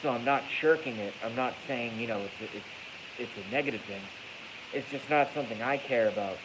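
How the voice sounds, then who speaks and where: Male streamer, mid-pitched and congested, blunt off-the-cuff monologue with profanity and repetition, hissy phone sound. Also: a little fast. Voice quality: congested, stuffy nose. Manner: blunt, profane, repeats phrases for emphasis. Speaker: livestream host. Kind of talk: casual rambling livestream monologue. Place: echoey garage at night. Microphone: iPhone, moved closer during the stream. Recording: hissy noisy iPhone recording.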